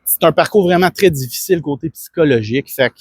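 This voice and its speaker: Earnest Québécois man, slightly husky. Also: fairly high pitch, fast, dry recording. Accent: Québécois French. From Quebec. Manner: rambling, earnest, many restarts and fillers. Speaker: fitness coach. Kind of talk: casual self-promotional monologue.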